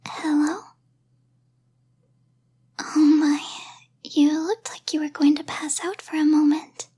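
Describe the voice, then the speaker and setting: A woman playing a kindly, timid innkeeper in an ASMR fantasy roleplay, speaking gently in a hushed, slightly hesitant half-whisper. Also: sits high, flat delivery, slow pace. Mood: gently concerned. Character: kindly, timid, softly spoken female innkeeper.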